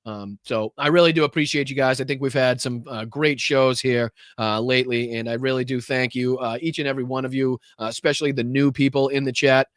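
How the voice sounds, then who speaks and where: Brief: male livestream host, loose and profane, smooth voice, dry close mic. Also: mid-range voice, fairly even intonation, fairly quick, few pauses, no echo. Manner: rambling, profane, many fillers. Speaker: podcast host. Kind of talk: casual livestream political commentary.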